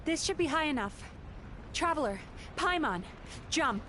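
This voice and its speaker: A woman playing a gentle, composed young psychologist and dream healer in a video game, speaking sincerely. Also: high-pitched, animated, measured pace, slight background noise, exaggerated voice. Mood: reassuring.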